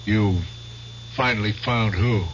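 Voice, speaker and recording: An actor playing an excitable, bewildered middle-aged lawyer in an old-time radio drama, speaking in exclamations. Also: male voice, lowish voice, slow. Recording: dull lo-fi radio recording, faint hum.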